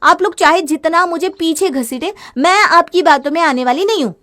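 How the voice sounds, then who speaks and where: A woman's voice: very high voice, normal speed, a bit bright, voice pushed up. A woman voicing a headstrong young Indian housewife in a family story, exclamatory, complaining and defensive. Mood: defensive, indignant. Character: headstrong gadget-obsessed young Indian housewife, easily fed up. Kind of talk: dramatized Hindi family story.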